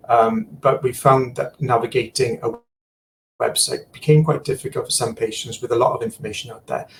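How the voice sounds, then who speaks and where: Here is a man on a video call whose voice has a gravelly, creaky edge and sits at an ordinary middle pitch. He walks through a screen demo in easygoing chat, stumbling over fillers and repeated words. A faint hiss sits under him. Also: fairly even intonation, brisk, low-quality recording. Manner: frequent fillers and repeated words while explaining. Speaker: guest, digital services supplier and former practice manager.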